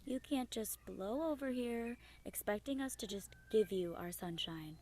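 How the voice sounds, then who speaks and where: A woman's voice: fairly high pitch, lively intonation, measured pace, a little mellow. Young woman acting a brash, hot-headed leaf. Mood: indignant. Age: young adult. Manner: blunt, confrontational. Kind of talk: student radio play acting. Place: small improvised home recording space.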